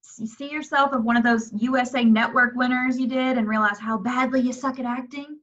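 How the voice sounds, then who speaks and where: A scornful, foul-mouthed horror heroine played by a woman in a home script reading. The voice is high and sharp, with blunt emphasis. The sound is slightly dull. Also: steady tone, a little fast. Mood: sarcastic, impatient. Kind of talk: amateur at-home screenplay table reading.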